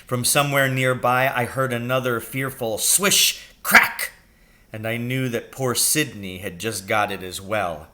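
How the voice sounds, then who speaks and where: A man narrates a children's storybook. His voice sits at a medium pitch with a bright, forceful edge, and the delivery is expressive. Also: a little slow. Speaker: reader of a children's novel.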